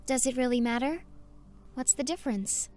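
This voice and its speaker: A woman playing a gentle, guilt-ridden but resolute young survivor in an audio drama, soft and restrained. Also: high-pitched, moderate pace, lightly acted. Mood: guilty, subdued. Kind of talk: amateur audio drama acting.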